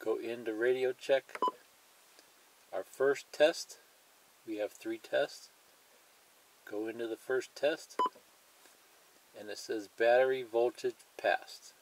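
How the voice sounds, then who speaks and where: A man's voice: medium pitch, fairly even intonation, takes it slowly, long pauses, a little mellow, poor audio. A man presenting a hands-on tutorial in a plain, methodical way, explaining each step and repeating it.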